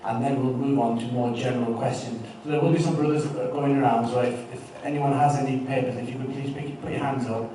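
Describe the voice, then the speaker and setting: A man's voice: medium pitch, steady tone, brisk, hardly any pauses, warm tone, slight background noise. A man hosting a question session, making polite announcements and reading questions to the audience. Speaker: event host reading questions. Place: large hall. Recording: far-off mic in an echoey hall.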